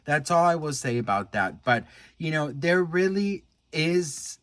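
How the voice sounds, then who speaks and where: A man's voice: on the high side, big pitch swings, a little slow, fairly bright tone, no echo. An American man chatting casually and earnestly to his viewers as a vlogger, in a gushing, confiding way. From United States. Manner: gushing, earnest, addresses viewer as best friend. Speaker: vlogger commenting on celebrity news.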